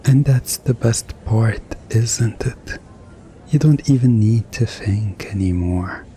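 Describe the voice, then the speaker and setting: Man playing very slow, low, mischievous male hypnotist. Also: dark tone, slight background noise. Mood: soothing, commanding. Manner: slow, soothing, teasing, deliberate pauses. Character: mischievous male stage hypnotist, smugly commanding and seductive. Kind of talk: hypnosis ASMR roleplay.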